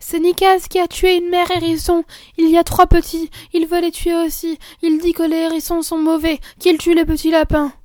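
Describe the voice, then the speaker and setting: A woman's voice: extremely high pitch, monotone, normal speed, few pauses, darkish tone, dry recording, studio quality, pitched above the performer's own voice. A woman voicing a sensible little girl in a storybook, explaining earnestly.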